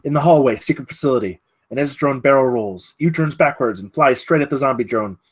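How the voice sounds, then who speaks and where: A man's voice: medium pitch, fairly quick. A man reading stage directions at a screenplay table read, in a dramatic, clipped way.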